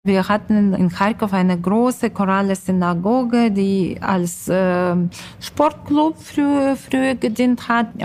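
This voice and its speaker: Slow, hesitant woman from Ukraine. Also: mid-range voice, darkish tone. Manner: hesitant, searching for words. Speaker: interviewee, social worker. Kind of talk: personal interview account.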